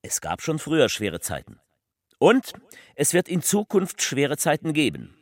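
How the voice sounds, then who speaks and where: Casting: a fervent male orator for radio drama, emphatic, pausing for weight, highly animated pitch. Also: dry recording, heavily acted. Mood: solemn, emphatic. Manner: declamatory, deliberate pauses, emphatic swells and drops. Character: fervent male politician delivering a rambling, faltering public speech. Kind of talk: theatrical political oratory.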